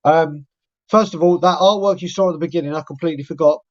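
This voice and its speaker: A man's voice: on the high side, fast talker. A man, hosting a live fan podcast in a chatty, rambling way. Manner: chatty, rambling shout-outs. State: croaky voice. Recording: dull lo-fi audio.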